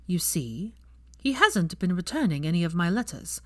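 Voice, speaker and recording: A woman's voice: fairly low pitch, big pitch swings, normal speed. A woman voicing a haughty older aristocratic mother in a fan fiction reading, with a cool, clipped, disdainful manner.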